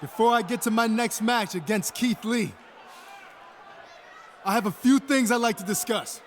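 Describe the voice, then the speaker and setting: Man acting as pro wrestler, high male voice, declamatory game ring promo, faint crowd sound. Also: moderate pace, lightly acted. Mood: stalling. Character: stalling male pro wrestler addressing the arena. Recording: arena crowd, faint noise.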